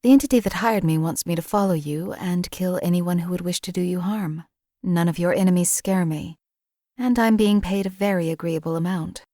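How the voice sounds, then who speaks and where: A woman voicing a cold, calculating female assassin companion in a game, with a calm, detached, clipped delivery. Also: on the low side, a little fast, dry recording, studio quality, natural voice. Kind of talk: game voice lines.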